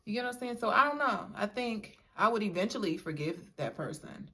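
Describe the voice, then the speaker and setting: A woman's voice: medium pitch, lively intonation, brisk, sharp tone, slightly rough. A young woman hosting a mukbang, chatting casually with her husband and laughing often as she talks to viewers. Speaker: mukbang host. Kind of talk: casual chat.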